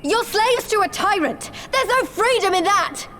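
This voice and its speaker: An actress playing a fierce, righteous young freedom fighter in a game cutscene, blunt and impassioned. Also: female voice, extremely high pitch, animated, measured pace, background noise. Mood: angry, accusing. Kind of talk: dramatic game cutscene acting.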